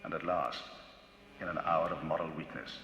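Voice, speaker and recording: A man giving a dramatic, theatrical reading of a classic novel as the narrator of a vinyl audiobook, his pitch and loudness rising and falling widely. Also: moderate pace, gravelly, slight background noise. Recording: vinyl audiobook with music bed. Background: music.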